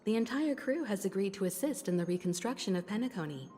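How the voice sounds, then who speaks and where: An actress voicing a poised woman crew director, formal, measured and resolute, in video game voice acting. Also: mid-range voice, expressive, dark tone, lightly acted. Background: game music.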